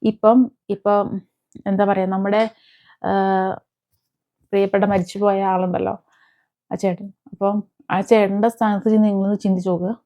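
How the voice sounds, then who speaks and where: A woman talking casually to viewers as an online commentator, halting and often searching for words. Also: mid-range voice, level tone, mellow. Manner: halting, searching for words, with frequent hesitant pauses. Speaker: YouTube commentator. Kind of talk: casual reaction vlog talk to viewers. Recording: dull, lo-fi sound.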